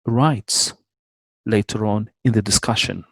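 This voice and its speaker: Brief: low-pitched male host, measured and careful, dry close video-call sound. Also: fairly even intonation, gravelly, a bit bright, husky, dry recording. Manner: measured, careful with names, small self-corrections. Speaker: host introducing a guest speaker. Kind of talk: reading a formal introduction. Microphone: online video call.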